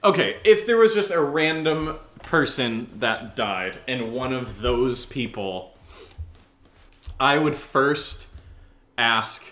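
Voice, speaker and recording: A man with a bright, forceful voice chats casually as a podcast co-host. He is animated and likes to tease. The room around him adds a clear echo. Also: medium pitch, takes it slowly. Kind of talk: casual banter answering listener questions. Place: indoor room, live-streamed session. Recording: roomy, echoing room sound.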